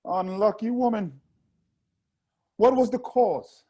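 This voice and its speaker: A man playing a slow, solemn chorus leader. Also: high voice, warm tone, dull audio. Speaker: actor in a dramatic reading. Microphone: video call.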